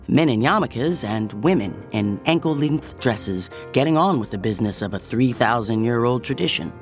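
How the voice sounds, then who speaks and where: Male actor as lyrical narrator, medium-pitched, measured, wistful, scripted, faint music behind. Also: lightly acted. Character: calm, wistful omniscient narrator of a city story. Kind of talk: scripted literary audio drama narration.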